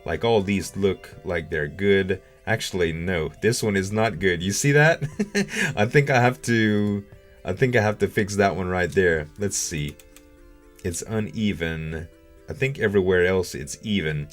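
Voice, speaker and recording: A man's voice: low-pitched, unhurried, soft background music. A man giving casual gaming commentary as a video host, rambling and thinking aloud.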